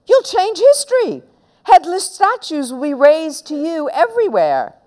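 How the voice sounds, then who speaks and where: A woman's voice: very high voice, wildly swooping pitch, a little slow, darkish tone, pitched above the performer's own voice, exaggerated voice. Woman doing a scheming, theatrical little horror of a boy. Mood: boastful, taunting. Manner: theatrical, taunting. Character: horrid mischievous young boy, boastful and scheming. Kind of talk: children's storybook character voice.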